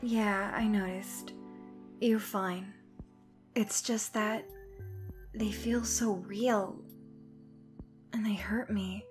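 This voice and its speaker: A woman voicing a weary, guarded young female alien in a fan comic dub, speaking softly and quietly. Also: medium pitch, fairly even intonation, drawn-out words, long pauses, soft background music. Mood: sad.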